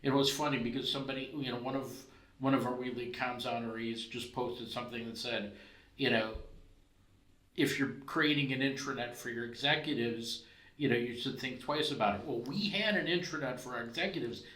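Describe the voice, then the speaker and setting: A man's voice: medium pitch, brisk, sharp tone, echoey room, rough recording. A man hosting a podcast who talks in a discursive, conversational way with many asides. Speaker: podcast host. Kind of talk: conversational interview.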